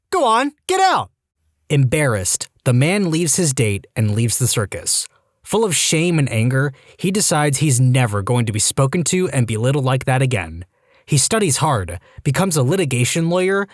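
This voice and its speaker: A man narrating posts aloud in a lively, wry storytime style, close to the mic. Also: medium pitch, no room sound.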